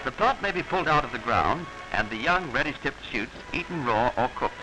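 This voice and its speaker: A man narrating a 1940s instructional film in a formal, instructive manner with measured diction, on an old film soundtrack. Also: medium pitch, very animated, over music, poor audio.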